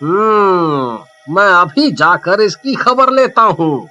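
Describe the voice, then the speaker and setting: A man voicing a bold, dismissive village husband in an animated horror story, scoffing and exasperated. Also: sits high, slow pace, faint music behind, full character voice. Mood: angry, bold.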